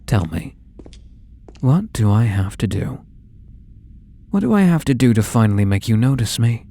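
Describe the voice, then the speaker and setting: An actor playing an obsessive, possessive young male stalker in an intimate ASMR roleplay, coaxing softly with falsely tender menace. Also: mid-range voice, very animated, a little hiss or hum. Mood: pleading, insistent. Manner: soft, coaxing, menacing, slow and deliberate.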